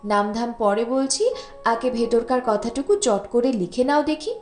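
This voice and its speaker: Breezy young gentleman, played by a woman narrator, familiar and coaxing, raised voice, slow storybook delivery, echoey room. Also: a little high, expressive. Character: breezy, teasing well-off young man, old classmate. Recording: echoey room, music bed underneath.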